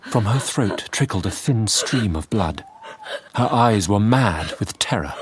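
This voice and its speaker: A man playing an earnest Victorian doctor, narrating a radio drama gravely and deliberately in short phrases. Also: fairly low pitch, expressive, noisy background. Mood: horrified, tense. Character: earnest Victorian male doctor recounting horrors. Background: music.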